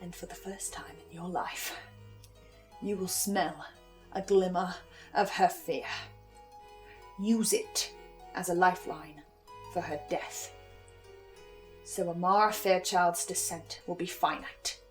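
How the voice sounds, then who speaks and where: A woman playing a composed, eerie researcher in a horror audio drama, speaking in a deliberate theatrical way. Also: fairly low pitch. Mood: hushed, ominous. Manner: slow, deliberate, ominous, suave.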